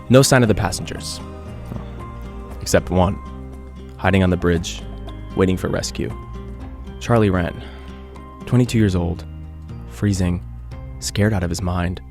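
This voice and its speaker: A man playing an earnest island radio host, narrating an eerie mystery in a measured, conversational podcast style. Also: low-pitched, gravelly, darkish tone. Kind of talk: scripted audio drama narration, confessional podcast.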